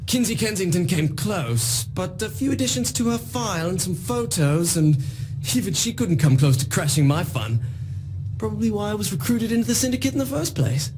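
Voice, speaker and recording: A young hacker with a high voice that swoops around. He is smug and theatrical, yet easily rattled, in a video game monologue over music. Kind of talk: scripted in-game audio log monologue. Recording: music behind the voice.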